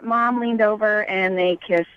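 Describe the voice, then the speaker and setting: A woman's voice: medium pitch, fairly even intonation, unhurried, clean tone, dry recording. A young woman with an American accent, a guest talking casually and a bit hesitantly over a phone call. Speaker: called guest on a radio show.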